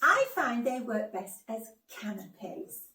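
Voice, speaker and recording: A woman's voice: fairly high pitch, big pitch swings, slow pace, exaggerated voice. Woman playing a chatty giantess in an echoey room. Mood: chatty, cosy. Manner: chatty, self-conscious, muttering asides then loud exclaiming. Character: widowed giantess, flustered amateur cookery vlogger, cheerfully man-eating. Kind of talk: comic monologue as a cookery video. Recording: roomy, echoey, distant microphone.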